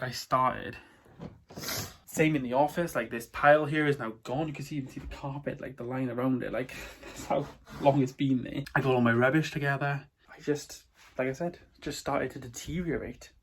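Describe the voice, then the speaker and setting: A young British man who sounds stuffed up from hay fever, chatting casually to camera at home as a vlogger, rambling with lots of fillers. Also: breathy edge. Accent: British English. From United Kingdom. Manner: rambling, filler-heavy, low-key. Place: at home, indoors.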